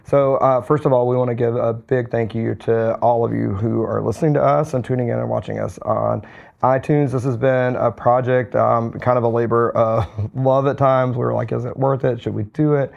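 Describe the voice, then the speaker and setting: A hoarse man recovering from a cold, co-hosting a podcast in a relaxed, rambling way. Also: medium pitch, moderate pace. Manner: relaxed, rambling with many fillers and restarts. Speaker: podcast co-host, physician. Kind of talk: casual podcast chat. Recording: slightly dull lo-fi sound.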